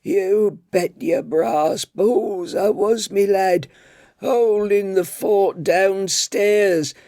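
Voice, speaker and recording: A foul-mouthed old tea lady, put on by a man reading the story, scolds in a high, coarse voice. She talks slowly and bossily, and the recording is dry and close. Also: dark tone, no echo, exaggerated voice. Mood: scolding.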